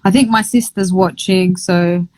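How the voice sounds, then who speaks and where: A woman's voice: fairly low pitch, steady tone, unhurried, a little mellow, no room sound. Chatty woman, dry close sound. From Somalia, raised in London. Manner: chatty, lots of "like" fillers, laughs easily. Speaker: boxer, interview guest. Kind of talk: casual interview chat.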